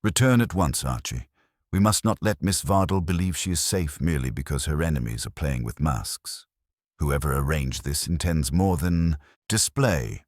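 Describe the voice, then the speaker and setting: A man voicing an imperious, brooding genius detective in an audiobook, speaking in a pompous, formal, deliberate way. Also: very deep voice, animated, no room sound, studio quality. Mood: stern, indignant. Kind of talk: audiobook character acting.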